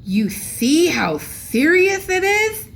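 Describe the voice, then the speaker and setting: A woman voicing an aggrieved, agitated old grandfather with slow, toothless-sounding speech, reading a storybook. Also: very high-pitched, big pitch swings, voice pushed up, exaggerated voice. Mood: moaning. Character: agitated, aggrieved old grandfather who lost his false teeth. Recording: clearly noisy room recording.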